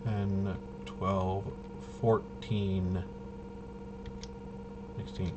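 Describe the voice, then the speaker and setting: Slow-talking man muttering through a game. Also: low-pitched, level tone, noisy background. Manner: hesitant, muttering to himself while working things out. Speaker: solo tabletop RPG player and presenter. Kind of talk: casual gameplay walkthrough, thinking aloud.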